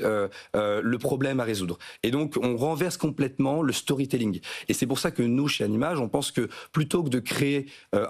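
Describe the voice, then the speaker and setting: A man, answering as a business interview guest in a hesitant, halting way. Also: medium pitch. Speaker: interview guest, startup chief executive. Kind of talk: live broadcast business interview.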